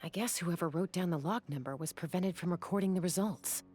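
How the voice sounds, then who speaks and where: Composed young female adventurer for a game, actress: slightly low, serious, focused delivery. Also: brisk, darkish tone, lightly acted. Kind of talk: video game voice acting.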